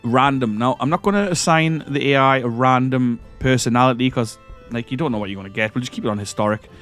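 Croaky man with a cold, rambling gameplay talk. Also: mid-range voice, fast talker, a bit bright, soft background music. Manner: rambling, hesitant, self-correcting. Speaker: gaming channel host. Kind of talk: casual let's-play commentary.

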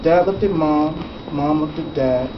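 A man reading a picture book aloud to children as its author, conversationally, pausing to explain the pictures and ask questions. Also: fairly high pitch, muffled recording. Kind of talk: storybook read-aloud with casual asides. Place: school classroom, indoors. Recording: echoey, noisy classroom, far from mic. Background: clear room noise with children present.

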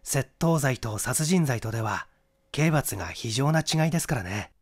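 A man's voice: medium pitch, lively intonation, moderate pace, close to an everyday voice. A man voicing a clever, confident young amateur detective who explains his deductions methodically in a mystery audiobook. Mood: methodical, self-assured. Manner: explanatory, methodical, rhetorical questioning. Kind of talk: Japanese mystery audiobook acting.

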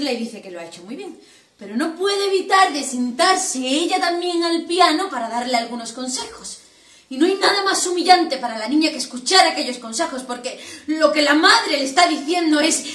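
A woman's voice: sits high, fairly bright tone. A young woman acting on stage as a resentful, wounded adult daughter, intense and accusatory. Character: wounded, resentful adult daughter pouring out bitterness to her mother. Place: small dim theatre set indoors. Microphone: far camera. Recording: roomy echo, distant camera microphone.

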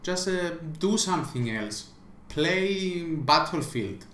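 A man's voice: on the high side, lively intonation, takes it slowly, a bit bright, reverberant. A man who does not speak English natively, giving an emphatic opinion rant as a small gaming vlogger, repeating himself and stumbling through restarts.

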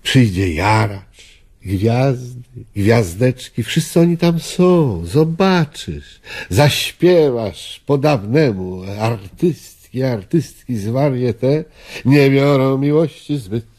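An actor playing a dead old friend in a radio drama, a husky older man who coaxes and lures theatrically. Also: medium pitch, expressive, slow pace, darkish tone. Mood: coaxing, nostalgic. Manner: coaxing, seductive, theatrical. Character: dead old friend, a coaxing male ghost.

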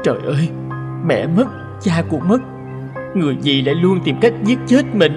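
Sad young orphan in a cartoon, very lively pitch swings, earnest lamenting, music behind. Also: male voice, normal speed. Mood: anguished. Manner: lamenting, plaintive. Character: sorrowful, mistreated orphaned young man, gentle and earnest. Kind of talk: animated fairy-tale dubbing. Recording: music under the voice.

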